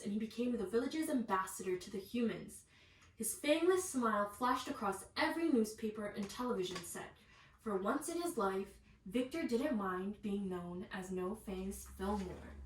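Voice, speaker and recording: A woman reading a children's bedtime story aloud in an animated way, with asides to the young listeners. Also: mid-range voice. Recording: echoey room, distant mic, faint noise.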